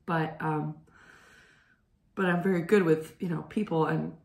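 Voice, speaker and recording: A hoarse young woman hosts a knitting show from home, rambling through her chatty stories and clearing her throat. Her low voice has a gravelly edge. The room around her sounds echoey. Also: slow pace, darkish tone. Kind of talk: casual chat.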